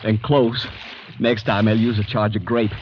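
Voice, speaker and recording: A man acts a hunted man thinking desperately to himself. The low voice is anguished, in old radio style, on a dull and clearly noisy recording. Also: little pitch movement, unhurried, natural voice. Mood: fearful, despairing. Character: desperate hunted man's fearful inner voice. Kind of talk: old-time radio drama acting.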